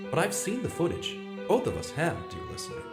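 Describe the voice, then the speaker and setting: A man's voice: a little high, wildly swooping pitch, a little fast. A man acts a small-town investigative journalist in a vintage-style radio drama. He is earnest and confiding, with a dramatic, varied intonation over prominent music. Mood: grave, confiding. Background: prominent instrumental music.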